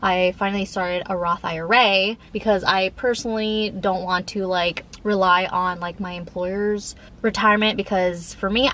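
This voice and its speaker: A woman's voice: medium pitch, fairly bright tone. A thirty-year-old woman chatting casually in a vlog, rambling informally with frequent "like" fillers. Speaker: lifestyle vlogger. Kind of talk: casual chat to camera.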